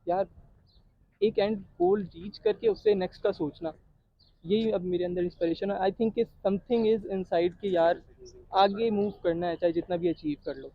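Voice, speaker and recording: A man's voice: high-pitched, lively intonation, measured pace, creaky, lo-fi sound. A man in his late teens with a Pakistani accent, talking casually as an interview guest and mixing in English. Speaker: interview guest, young tech entrepreneur.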